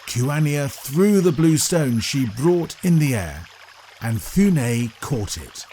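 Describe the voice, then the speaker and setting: A man narrating a scripted children's story in a deliberate, expressive storytelling manner. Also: medium pitch, slight background noise.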